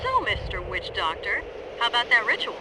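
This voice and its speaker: An actress playing a clever, earnest young researcher with a teasing, explanatory manner in a video game. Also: female voice, on the high side, very animated, fairly quick, heavy background noise. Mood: eager.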